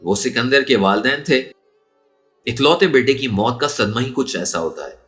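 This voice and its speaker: A man's voice: mid-range voice, animated, moderate pace, a bit bright, faint music behind. A man narrating a story in a dramatic, emotive and suspenseful way. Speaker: audiobook narrator.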